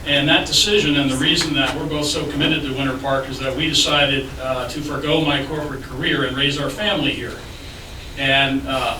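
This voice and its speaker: A man giving a measured, businesslike opening statement as a candidate at a public debate, with frequent filler sounds. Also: mid-range voice. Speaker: city commission candidate. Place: public library meeting room. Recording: echoey room, distant mic, noisy.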